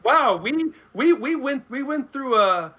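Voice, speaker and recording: High, bright-voiced man thinking out loud. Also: expressive. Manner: halting, repeats words as he thinks aloud. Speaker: guest commentator. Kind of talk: casual film and game discussion.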